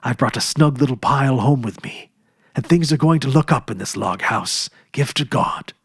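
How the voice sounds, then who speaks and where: A man's voice: mid-range voice, lively intonation, moderate pace, gravelly, breathy edge, no echo, clean studio sound. A man doing a hearty returning prospector brother. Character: adult brother, warm hearty prospector back home.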